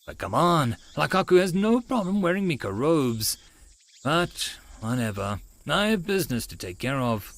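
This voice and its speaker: A male audiobook narrator reading a first-person story. His voice is fairly high for a man and moves with lively, varied intonation. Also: moderate pace.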